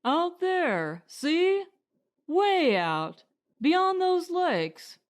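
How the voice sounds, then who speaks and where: A woman's voice: very high voice, very animated, extremely slow, voice pushed up, exaggerated voice. A woman voicing an eager young husband, a hopeful settler in a storybook, speaking emphatically. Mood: excited.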